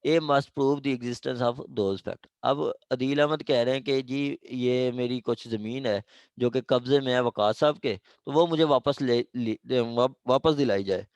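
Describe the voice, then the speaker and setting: A man with a Pakistani accent teaches law in an informal classroom style. His voice has a middling pitch and is recorded very dry. He explains with examples, slips into English legal terms and often checks that listeners follow. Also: measured pace, no room sound. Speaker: law lecturer.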